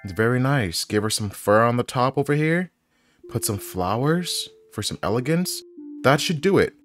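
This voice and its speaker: A man's voice: mid-range voice, lively intonation, normal speed, fairly bright tone. A man doing casual game commentary and reading aloud as a video host, close on the microphone. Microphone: microphone on a stand, close to the mouth. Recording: music playing behind the voice.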